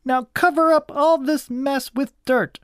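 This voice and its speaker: A storybook narrator voicing a bossy, grumpy young girl who gives sharp, demanding orders. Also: high voice, fairly even intonation, a little slow, no echo, studio quality, pitched above the performer's own voice. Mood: cross. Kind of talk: children's storybook character voice.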